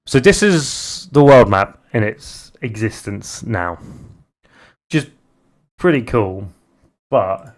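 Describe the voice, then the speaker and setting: A young British man streaming live, chatting casually and haltingly as he reads out viewer messages. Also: lowish voice, animated. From Britain. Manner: rambling, halting, restarts often while reading viewer chat aloud. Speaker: live streamer and game developer.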